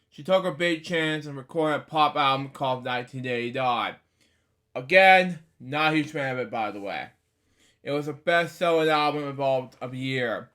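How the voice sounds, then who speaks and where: Male host, 20s, voice a bit high, halting casual read-aloud with rambling asides. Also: a bit bright. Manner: halting, stumbles over words while reading; rambling asides with repeated catchphrases. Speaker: host of a story reading series.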